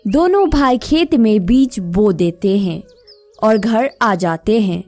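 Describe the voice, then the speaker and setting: A woman's voice: mid-range voice, lively intonation, takes it slowly, dark tone, faint music behind. A woman narrating a scripted moral story as the storyteller.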